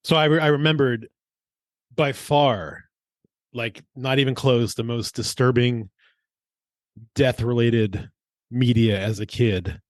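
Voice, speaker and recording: A man's voice: medium pitch, fairly even intonation, slow pace, long pauses, dry recording. Casual middle-aged man, dry close sound. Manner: profane, informal. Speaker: podcast co-host. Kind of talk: casual chat and personal storytelling.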